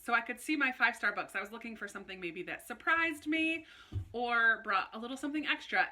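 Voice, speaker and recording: A woman chatting casually to camera like a book vlogger, in an animated, informal way with little self-interrupting asides. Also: fairly high pitch. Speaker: book review video host. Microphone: phone camera recording.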